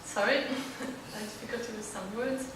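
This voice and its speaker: A woman's voice: fairly high pitch, steady tone, measured pace. A woman with a Moroccan Arabic accent giving an informal personal talk as a student panelist, searching for words as she goes. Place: library event room, indoors. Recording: very echoey, distant, clearly noisy room recording.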